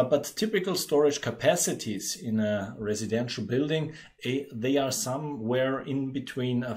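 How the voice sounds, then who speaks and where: A man's voice: medium pitch, a little mellow. Austrian-accented man presenting a webinar. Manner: explanatory, many fillers and restarts.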